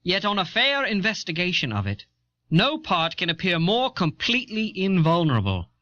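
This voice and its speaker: Firm early statesman, formal, sharp highish male voice, lively intonation, dry muffled tape. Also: moderate pace, no echo, full character voice. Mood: firm, assured. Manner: formal, assertive. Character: male founding-era statesman, firm, reasoned advocate. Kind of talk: dramatized reading of historical political essays. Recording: dull, dry old audio cassette.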